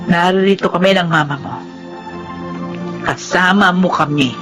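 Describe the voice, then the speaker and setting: An actress with a Filipino accent playing a gentle, supportive aunt, soothing and earnest, in a life drama. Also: female voice, fairly low pitch, measured pace, loud music behind the voice, lightly acted. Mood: apologetic, comforting. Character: gentle, supportive aunt of a troubled young woman. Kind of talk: Tagalog life drama acting.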